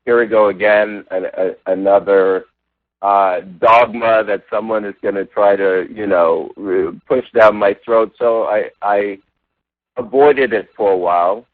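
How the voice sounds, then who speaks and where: A man's voice: fairly low pitch, steady tone, unhurried, no room sound. A man born in Jamaica, a religious science practitioner and interview guest, thoughtfully telling his spiritual journey with frequent restarts and "you know" fillers. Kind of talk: reflective personal storytelling. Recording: tinny phone-like line, dry.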